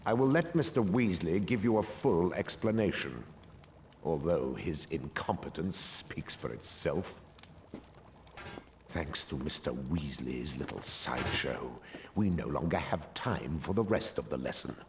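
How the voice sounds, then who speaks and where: Stern game potions master, sneering drawl, deep voice, lively inflection, deliberate. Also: male voice, noisy background, heavily acted. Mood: scornful. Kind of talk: video game voice acting.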